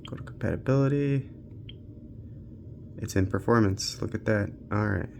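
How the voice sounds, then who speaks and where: A man's voice: lowish voice, moderate pace, vocal fry, a little mellow, slight background noise. A man giving an unscripted, casual tech walkthrough, thinking aloud informally as he tests games on a handheld. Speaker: tech reviewer hosting a handheld gaming channel.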